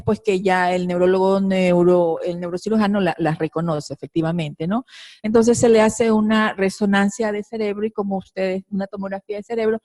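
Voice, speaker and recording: A woman's voice: mid-range voice, darkish tone, no room sound. A woman from Ecuador, a pediatric neurosurgeon, presenting a case at a conference, hesitant with many fillers.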